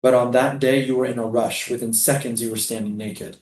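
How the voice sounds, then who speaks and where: Male prisoner, played by a man at a stage reading: dreamy, poetic, defiant refugee, medium pitch, roomy echo. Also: steady tone, fairly quick, darkish tone, a little hiss or hum, natural voice. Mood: intimate, dreamy.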